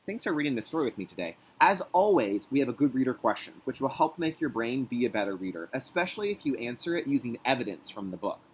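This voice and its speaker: A man who works as a kindergarten teacher, leading a read-aloud lesson with deliberate enunciation and an instructional manner for young children. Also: medium pitch, sing-song, slight background noise.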